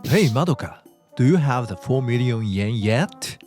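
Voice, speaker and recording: Casting: actor as childish, demanding husband, mid-pitched and very animated, petulant bluster, music underneath. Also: male voice, measured pace, lightly acted. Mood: pushy. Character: childish, selfish, demanding husband, dismissive and whiny. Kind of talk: dramatized dubbed dialogue.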